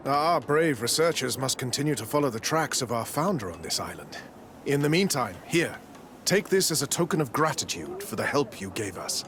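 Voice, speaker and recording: A man's voice: medium pitch, big pitch swings, normal speed, fairly bright tone. A man playing a gracious, formal religious official in a video game, with grandiose delivery. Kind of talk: video game dialogue acting. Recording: faint outdoor ambience noise.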